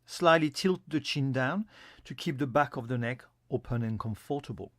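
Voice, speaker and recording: A man giving a guided yoga nidra meditation as an instructor, in a calm, soothing, instructional manner. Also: medium pitch, moderate pace, dry recording.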